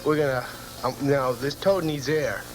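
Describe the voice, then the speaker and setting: A man's voice: medium pitch, steady tone, normal speed, close to an everyday voice, rough recording. Guy doing a drunk goofy young dude, rambling and bragging, comedy bit, music behind him. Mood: rambling, earnest. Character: tipsy goofy young beer-chugging guy bragging about stunts.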